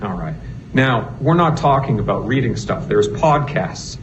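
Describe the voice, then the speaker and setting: A man's voice: medium pitch, expressive, unhurried. A man with a Boston accent cross-examining a witness as an attorney, pressing with frequent tag questions. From Northeastern United States. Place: courtroom. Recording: noisy, muffled courtroom recording.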